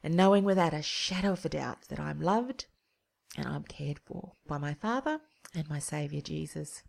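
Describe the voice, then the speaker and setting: A woman hosts her show and shares a personal story of loss and faith. Her voice sits low, with a gravelly edge, and she speaks earnestly, slowing into soft pauses. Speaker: podcast host.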